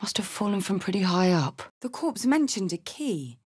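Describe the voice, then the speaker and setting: Casting: fiery tiefling warrior woman for a fantasy game, hell fugitive, blunt and dramatic, mid-range pitch. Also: big pitch swings, moderate pace, husky. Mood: wary, matter-of-fact. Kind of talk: fantasy video game voice acting.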